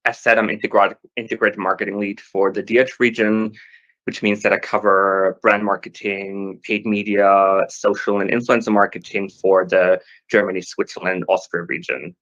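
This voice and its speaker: Man, panel speaker, lowish voice, measured, hesitant, dull lo-fi stream audio. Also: flat delivery, a bit bright. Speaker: marketing lead on a panel. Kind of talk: corporate panel discussion.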